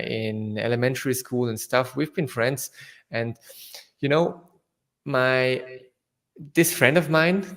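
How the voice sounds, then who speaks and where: A young man from South Tyrol whose first language is German, speaking English with a German accent as an interview guest, halting with fillers and restarts. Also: medium pitch. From northern Italy, South Tyrol. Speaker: guest entrepreneur. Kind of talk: casual interview answers.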